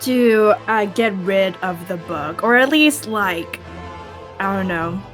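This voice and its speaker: A woman's voice: medium pitch, animated, slow pace. A woman chatting casually as a player at a tabletop game. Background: soft music.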